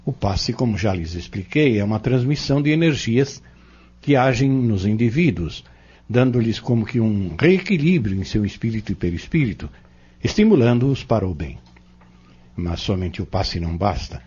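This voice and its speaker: A Brazilian man acting in an audio novel as a patient, earnest Spiritist teacher, explaining doctrine in measured, emphatic phrases. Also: on the low side, expressive, mellow, slightly rough. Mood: earnest, instructive. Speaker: Spiritist instructor in an audio drama. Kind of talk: didactic audio-novel dialogue. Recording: dull, lo-fi audio.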